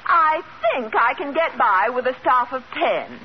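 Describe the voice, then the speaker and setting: A high-voiced, gushing society snob in vintage radio comedy. She swoops theatrically and draws words out with affected grandeur. The recording is a noisy old broadcast with an audience behind. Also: lively intonation, measured pace, dull audio. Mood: patronizing. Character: gushing, snobbish wealthy society woman. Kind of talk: 1940s radio comedy acting.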